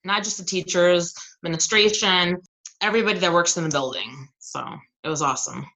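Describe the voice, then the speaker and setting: A woman teacher from the American Southwest chats as a podcast guest. Her voice sits low yet comes across bright and forceful. She talks in a friendly, easygoing way and laughs often. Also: fairly quick, rough recording. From Southern California and Arizona. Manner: friendly, chatty, laughs easily. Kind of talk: casual podcast interview.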